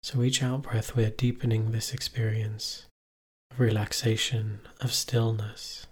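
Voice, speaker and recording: A man with a slightly husky voice guides a meditation at a very slow pace. His pitch stays even and mid-range, and he leaves long pauses between short, calm instructions. He sounds measured, like a teacher leading practice.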